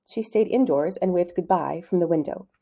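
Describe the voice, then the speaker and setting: A woman reading a children's storybook aloud as narrator, in an expressive style. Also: lowish voice, normal speed.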